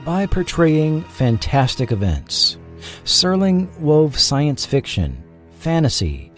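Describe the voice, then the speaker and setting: A male narrator hosts a scripted documentary in a mid-pitched voice. He takes his time and speaks deliberately, with expressive rises and falls that settle at the ends of phrases. Music plays behind him. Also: no echo. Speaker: podcast host and narrator. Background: background music.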